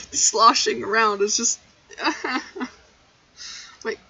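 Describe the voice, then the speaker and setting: A woman's voice: fairly high pitch, very slow, a bit bright, dull audio, slight background noise. A young woman chatting casually on a game livestream in a playful, goofy way, recorded in her bedroom. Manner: playful, goofy, breaks into song. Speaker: game streamer.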